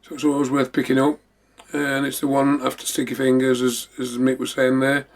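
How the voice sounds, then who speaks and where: A man presents collectibles in a loose, rambling chat. His voice sits at a medium pitch with a noticeable gravelly creak, and he often doubles back on his phrases. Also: completely flat delivery, normal speed. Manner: rambling, repeating himself. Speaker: host of a memorabilia show. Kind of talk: casual show-and-tell chat.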